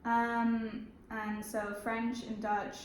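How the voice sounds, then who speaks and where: A young woman from Belgium talking casually in an interview, relaxed and matter-of-fact, with frequent fillers. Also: a little high, drawn-out words, reverberant, a little hiss or hum. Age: young adult.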